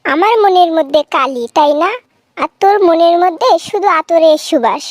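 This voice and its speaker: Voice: very high-pitched, normal speed, slight background noise. A performer voicing a fierce, sarcastic village wife in a comic cartoon, taunting sharply in a rural Bangladeshi Bengali accent. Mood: taunting, angry. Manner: taunting, sharp-tongued, threatening. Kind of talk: comic cartoon dialogue, exaggerated bickering.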